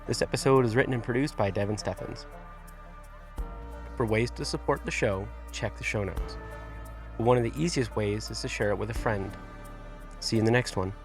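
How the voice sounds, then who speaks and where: Low-voiced man reading podcast credits. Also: normal speed, long pauses, soft background music. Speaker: podcast producer and host. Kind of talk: scripted outro credits.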